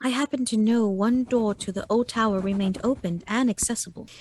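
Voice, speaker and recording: An actress playing a haunted young gentlewoman recounting a gothic tale in a radio play. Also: fairly high pitch, brisk, slight background noise, lightly acted. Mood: reflective. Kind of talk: dramatic first-person narration in a radio play.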